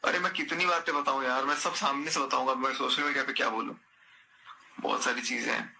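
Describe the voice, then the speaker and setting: A man's voice: mid-range voice, fairly even intonation, brisk, vocal fry, bright, forceful tone. A man in a personal phone conversation, speaking insistently and repeating his phrases. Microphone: phone call. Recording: recorded phone call with faint noise.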